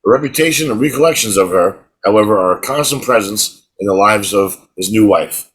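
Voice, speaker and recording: Man, middle-aged, husky voice, rambling, casual podcast chat. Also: on the low side, a little fast, fairly bright tone, low-quality recording. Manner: rambling storyteller, repeats words when starting. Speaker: podcast co-host.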